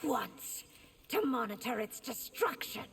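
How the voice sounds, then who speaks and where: Teasing sultry empress for a game, slow, a bit far from mic. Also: female voice, on the high side, expressive, slight background noise. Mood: teasing menace. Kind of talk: video game fight intro acting.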